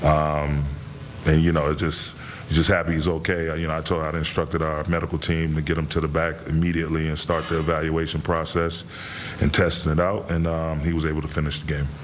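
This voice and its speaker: Low, flat-voiced coach at a press podium. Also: male voice, a little hiss or hum. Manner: measured, repeats words, many fillers. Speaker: head coach. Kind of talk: postgame press conference answers. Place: press room in front of a sponsor backdrop.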